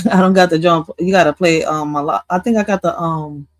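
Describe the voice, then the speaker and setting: A woman, hosting a casual livestream chat in a laid-back, halting way, laughing easily. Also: no room sound. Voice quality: deep voice. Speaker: livestream host.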